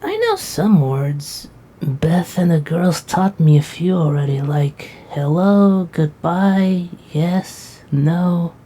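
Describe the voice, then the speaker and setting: A drowsy, protective young knight, played by a woman, with a low, husky voice. She talks slowly and softly, with a dark, mellow tone and faint music behind. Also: very animated, full character voice. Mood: tired. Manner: drowsy, soft and affectionate. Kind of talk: casual roleplay dialogue.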